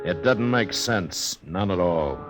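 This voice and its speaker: An actor playing a level-headed Old West marshal in a 1950s radio drama, speaking in a measured, laconic, matter-of-fact way. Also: male voice, low voice, expressive, over music. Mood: sober, reflective. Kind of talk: 1950s radio western acting and narration.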